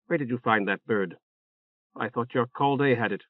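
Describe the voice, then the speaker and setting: A man's voice: mid-range voice, normal speed, dry recording, narrow, tinny audio, lightly acted. A man voicing a plain-spoken, matter-of-fact young woman soldier in an audiobook. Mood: wary.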